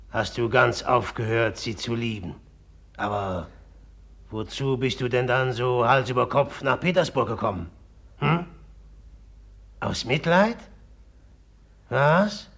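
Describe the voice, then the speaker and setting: An actor playing a brooding, bitter, obsessively jealous suitor in a German radio play, speaking haltingly with a rough edge. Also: male voice, medium pitch, a bit bright, exaggerated voice. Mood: bitter, probing. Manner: halting and brooding, breaking into taunting outbursts.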